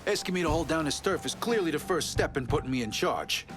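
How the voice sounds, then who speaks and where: A man's voice: on the high side, expressive, fast, music in the background, lightly acted. A man acting an ambitious, scornful crime underboss in a video game, brash and combative.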